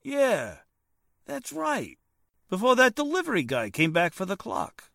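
An actor playing a rough, suspicious private detective with streetwise, ungrammatical talk in a 1940s-style radio drama. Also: male voice, high-pitched, huge pitch swings, unhurried, fairly bright tone, no echo, studio quality, heavily acted. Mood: flustered, defensive. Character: blustering, uneducated male private detective, suspicious tough guy.

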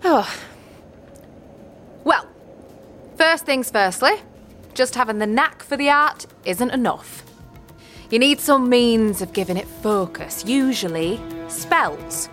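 A woman's voice: a little high, lively intonation, slow, long pauses, a bit bright, faint music behind. A woman playing a kindly, cagey old midwife witch in a fantasy audio drama, speaking in folksy, archaic phrases and repeating her words. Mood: instructive. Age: older.

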